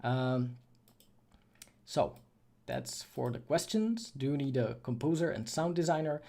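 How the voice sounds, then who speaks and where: A man with a Czech accent hosts a casual livestream. He talks informally and a little hesitantly, often rephrasing himself as he answers questions from viewers. His pitch sits in a middle range for a man. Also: measured pace, vocal fry, warm tone. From Czech Republic. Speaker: livestream host, freelance 3D artist and course creator.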